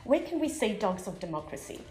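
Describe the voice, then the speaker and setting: A woman asks a guest questions in a measured, courteous way. Her voice sits in the middle range. The sound is roomy, with faint background music. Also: medium pitch, sing-song, a little mellow. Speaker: TV show interviewer. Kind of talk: scripted interview questions.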